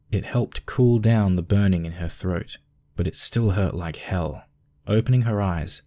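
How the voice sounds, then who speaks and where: Low, mellow male story narrator.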